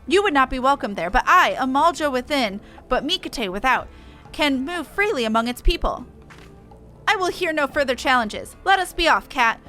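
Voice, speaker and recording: Woman putting on a high-pitched, brash warrior woman. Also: expressive, moderate pace, soft background music. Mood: eager. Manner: forceful, theatrical, archaic phrasing. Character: brash, proud female beastman warrior eager for battle. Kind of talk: reading game dialogue aloud.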